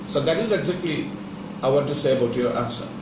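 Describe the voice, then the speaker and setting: A man from Bangladesh lecturing a class in a measured, repetitive way, often stopping and restarting his sentences. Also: medium pitch, lively intonation, far from the mic. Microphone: far room microphone. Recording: echoey classroom from afar, air conditioning noise.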